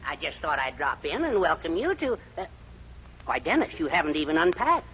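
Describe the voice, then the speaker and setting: An actor playing a fussy, henpecked middle-aged American husband and eager schemer, blustering and hesitant in 1940s radio comedy style. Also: male voice, on the high side, fairly quick. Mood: flustered. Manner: excitable, blustering, hesitant stammers. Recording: dull, noisy 1940s radio recording.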